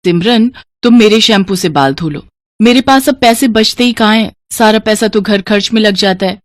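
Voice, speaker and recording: A woman's voice: mid-range voice, normal speed, dry recording, clean studio sound, close to an everyday voice. A woman voicing a practical married elder sister, firm and earnest, in a storybook tale. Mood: weary.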